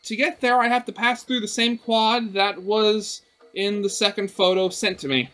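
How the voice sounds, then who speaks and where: A young man reading a visual novel aloud as a let's play host, expressive and breaking into casual asides. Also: high-pitched, normal speed, soft background music.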